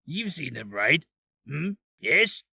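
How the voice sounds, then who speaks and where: A man's voice: fairly high pitch, very animated, takes it slowly, creaky, bright, forceful tone, slightly rough, full character voice. A man voicing an odd, smug, evasive hospital director who hums and drawls his asides, for a character reel.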